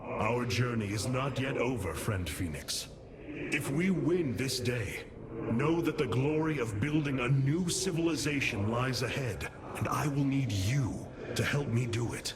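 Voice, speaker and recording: A man's voice: medium pitch, lively intonation, a little slow, over music, natural voice. Man playing a sweeping, noble alien warrior commander. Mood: grave, reassuring. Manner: formal, solemn, declamatory. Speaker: voice actor. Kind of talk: epic science fiction game acting. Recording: loud cinematic score behind.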